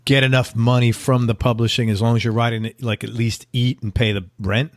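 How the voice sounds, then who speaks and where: A man's voice: mid-range voice, little pitch movement, a little fast. A man interviewing a guest, asking casual questions and reacting with short affirmations.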